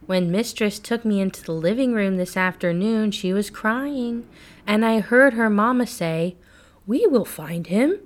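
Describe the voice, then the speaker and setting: A woman's voice: mid-range voice, a little slow, voice pushed up, close to an everyday voice. A woman voicing a caring, earnest rag doll girl, worried but brave, in a children's storybook reading. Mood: worried, sad.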